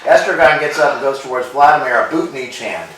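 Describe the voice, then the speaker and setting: A man reading stage directions aloud in a staged play reading, in an even, matter-of-fact way. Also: medium pitch, fast, noisy background. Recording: echoey room, far from mic.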